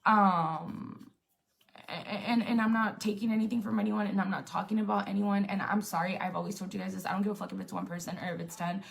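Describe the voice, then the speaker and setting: A woman's voice: medium pitch, steady tone, fast, gravelly. A young woman influencer venting bluntly and defiantly to her viewers on a live stream, swearing often and filling with "like". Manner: blunt and defiant, swears a lot, many "like" fillers. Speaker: influencer on a livestream.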